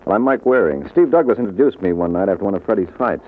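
An American actor playing a wisecracking, sardonic private detective in 1940s radio style. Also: male voice, lowish voice, lively intonation, quick pace. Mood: smooth, probing. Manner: sardonic, slangy. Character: wisecracking, cool-headed male private detective. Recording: tinny band-limited old radio broadcast.

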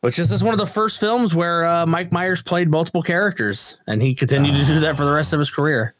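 A man's voice: a little high, quick pace, a bit bright, dry recording. Laughing, swearing podcast guy. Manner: swearing, rambling, laughs often, breaks into quoted voices. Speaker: podcast co-host. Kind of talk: casual movie podcast chat.

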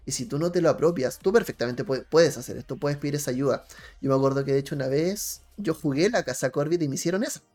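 A man's voice: a little high, quick pace, a little mellow. A man with a Chilean accent talking casually as a panelist on a talk show, telling anecdotes. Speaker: panelist on a tabletop role-playing talk show.

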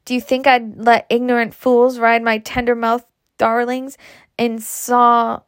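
A woman's voice: fairly high pitch, flat delivery, a little slow, no room sound, clean studio sound, close to an everyday voice. A woman voicing a blunt, stubborn, boastful horse-breeding matron in a storybook, talking emphatically. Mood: indignant, stubborn. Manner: emphatic, talkative.

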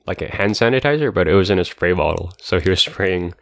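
Young man, deep voice, smooth and dry, calm measured talk. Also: no room sound. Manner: calm, measured, reasoning things through. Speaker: podcast co-host. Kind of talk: casual chat.

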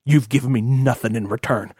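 A man's voice: mid-range voice, fairly even intonation, brisk, darkish tone, slightly rough, no echo, clean studio sound. A man voicing a stern, bitter, ailing old rich father in an audiobook, scolding and folksy as he gives orders. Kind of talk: audiobook character acting.

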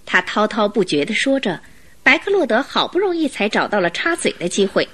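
A woman reading scripted story narration for a radio drama in an expressive way. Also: mid-range voice, a little fast, breathy edge.

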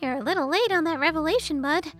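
A woman's voice: high-pitched, fast talker, a bit bright, soft background music, pitched above the performer's own voice. A woman voicing a sassy, sarcastic female fairy with an exasperated, mocking and dramatic manner in a storybook narration. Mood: wry.

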